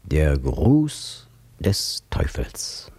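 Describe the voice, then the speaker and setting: A man's voice: very deep voice, sing-song, very slow, darkish tone, husky, full character voice. An actor playing a solemn, mysterious man who declaims in an artistic radio drama. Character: solemn, mysterious man intoning cryptic titles.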